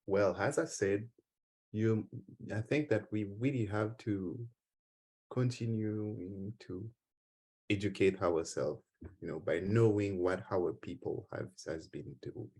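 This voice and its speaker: A man from Haiti with a Haitian accent, giving an earnest conference talk and taking questions, halting at times with repeated words. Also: fairly low pitch, a little mellow, breathy edge. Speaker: conference speaker. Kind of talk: conference speech then Q&A.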